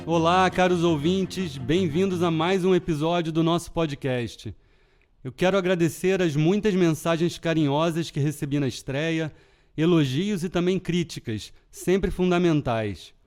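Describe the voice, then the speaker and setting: A man with a Carioca accent that leans toward European Portuguese, host of a literary podcast, giving measured scripted narration. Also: a little high, a little mellow. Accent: Rio de Janeiro Portuguese with European Portuguese touches. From Brazil. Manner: measured, deliberate reading.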